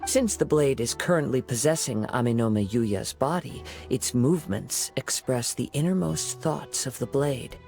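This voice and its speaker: A calm young swordsman reasons aloud in a video game. His voice is slightly gravelly and his delivery is measured and unhurried. Faint music plays behind him. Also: medium pitch. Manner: measured, composed, analytical. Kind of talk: game voice acting. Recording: game soundtrack under voice.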